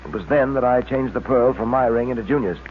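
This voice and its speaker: An actor playing a calm, confident detective hero in an old radio crime serial. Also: male voice, medium pitch, fast, lightly acted. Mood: explanatory. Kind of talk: 1940s radio acting. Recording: tinny, faintly noisy old radio recording.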